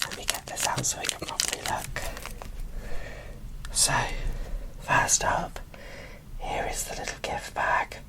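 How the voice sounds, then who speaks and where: A woman speaks in a soft whisper, as in an ASMR unboxing video. She takes her time, with a slow pace and a chatty, gushing manner. Her delivery is informal and unscripted. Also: low-quality recording. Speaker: video creator presenting a doll unboxing.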